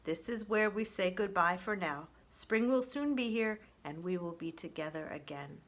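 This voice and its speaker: Woman as a bright-voiced, caring robot mother. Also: fairly low pitch, animated, normal speed. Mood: tender.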